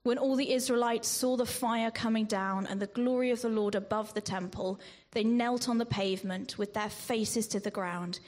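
A woman preaching a sermon in a measured way, with ums and restarts, sometimes dropping to a whisper on scripture. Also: mid-range voice. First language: not Greek. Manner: slow, measured delivery with frequent ums and restarts.

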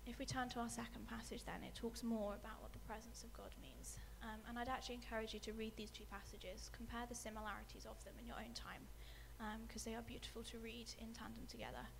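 A woman preaching a sermon, speaking softly with many ums and letting her phrases fade away quietly. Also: a little high, fairly even intonation, quick pace, creaky, few pauses, background noise. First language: not English. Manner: soft-spoken, many ums, trails off quietly at phrase ends. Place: church hall with a screen.

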